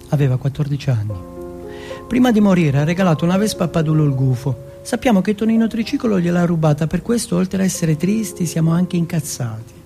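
A man's voice: fairly high pitch, vocal fry, warm tone. A man from Turin performing a first-person dramatic monologue in short, blunt, colloquial sentences with a streetwise manner. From Turin, Italy.

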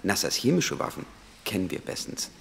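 Radio play: measured, analytical man, gravelly low voice, reverberant room, faint noise. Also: sing-song, fairly bright tone, heavily acted. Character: calm, analytical male investigator confiding his findings. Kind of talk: radio drama acting, deliberate reasoning.

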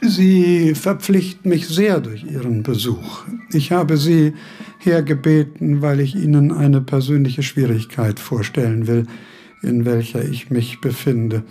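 An actor playing a fussy old philosophy professor in a radio play, formal, courtly and pedantic. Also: male voice, mid-range voice, unhurried, warm tone, slightly rough, soft background music. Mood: courteous. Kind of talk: German radio play acting.

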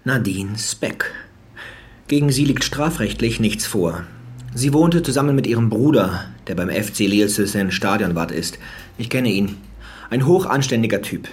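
An actor playing a businesslike homicide detective with a dry, matter-of-fact delivery in a crime radio drama. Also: male voice, on the low side, expressive, normal speed, husky. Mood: factual, assured. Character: businesslike male homicide detective reporting findings. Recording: faint ambient noise.

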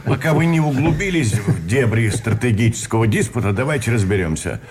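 An actor in a Russian radio drama playing a polite, formal colonel who takes charge among war prisoners. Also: male voice, mid-range voice, animated, brisk, darkish tone, natural voice. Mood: polite, organizing.

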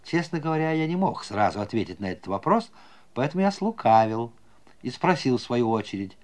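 A man telling a children's story in an expressive, playful narrating manner. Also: medium pitch, a little fast.

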